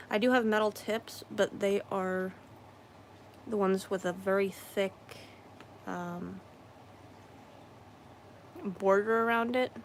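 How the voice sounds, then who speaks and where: A hoarse woman chatting slowly and easily while she crafts at home, clearing her throat often and circling back to fix what she just said. Her pitch sits mid-range, and a faint hum of room noise stays under her voice. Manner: rambling, with frequent throat-clearing and self-corrections. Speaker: craft streamer. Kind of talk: casual livestream chat while crafting.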